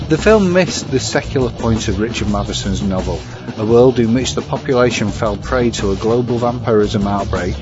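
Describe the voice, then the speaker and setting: A man delivers a scripted podcast review in formal, measured phrasing. His voice is fairly low and slightly muffled, with music clearly playing behind it. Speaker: podcast segment presenter and film reviewer. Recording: muffled sound under prominent music.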